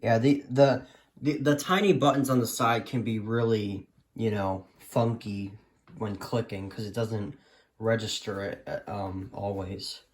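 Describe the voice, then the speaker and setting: A man's voice: on the low side, steady tone, measured pace. A man giving a casual, unscripted show-and-tell as a hobbyist, speaking hesitantly and often trailing off. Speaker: hobbyist collector presenting a radio collection.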